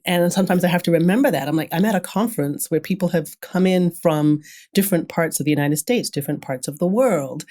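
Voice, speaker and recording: A woman speaking candidly and casually as a podcast guest, telling stories and acting out what people say. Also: lowish voice. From grew up in London, then Brooklyn. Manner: candid, animated storytelling, acts out quoted remarks, often chuckles. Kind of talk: casual interview conversation.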